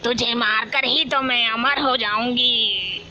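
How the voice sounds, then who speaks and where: A woman voicing a wicked, gloating witch in a horror cartoon, speaking in slow, menacing threats with a creaky voice. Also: on the high side, fairly even intonation, highs cut off, crowd noise. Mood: gloating menace. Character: wicked, gloating witch craving immortality through human sacrifice. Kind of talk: Hindi horror cartoon voice acting. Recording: loud music under the voice.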